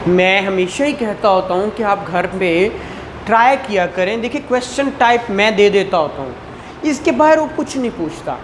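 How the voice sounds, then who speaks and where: A man's voice: high-pitched, animated, normal speed, slight background noise. A man with a Pakistani Punjabi accent giving a didactic classroom law lecture, repeating points and questioning students. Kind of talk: classroom lecture, code-switching into English terms.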